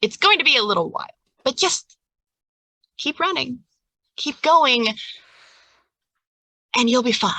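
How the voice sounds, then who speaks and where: Woman playing an earnest, cryptic ringmaster, bright voice. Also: sits high, lively intonation, measured pace, pauses often, no echo, full character voice. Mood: grave, reassuring.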